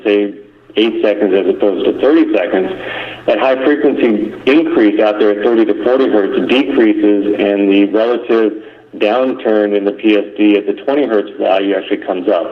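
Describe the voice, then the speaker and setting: A man methodically explaining technical material on a conference call. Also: fairly low pitch. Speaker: engineering presenter. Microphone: conference call line. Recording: dull lo-fi conference phone call.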